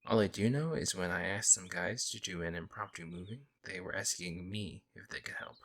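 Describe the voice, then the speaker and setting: A man playing a friendly young werewolf, a lone wolf who talks casually and teases gently in an ASMR audio roleplay. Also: low-pitched, brisk, gravelly, heavily acted. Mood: casual, musing. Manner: casual, hesitant musing, gently teasing. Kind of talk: ASMR audio roleplay, intimate conversational acting.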